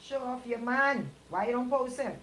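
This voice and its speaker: A woman with a Caribbean accent co-hosting radio in casual, playful, expressive banter. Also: a little high, moderate pace, slight background noise. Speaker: radio co-host.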